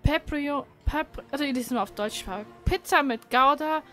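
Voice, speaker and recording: A woman's voice: high-pitched, normal speed, a bit bright, faint music behind. A woman chatting casually and teasingly as co-host of a gaming session. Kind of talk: casual chat. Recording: clear background noise.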